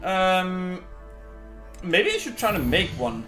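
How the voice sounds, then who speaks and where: A man giving casual game commentary as a streamer, thinking aloud in a halting way. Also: high voice, sing-song, a bit bright. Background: game music.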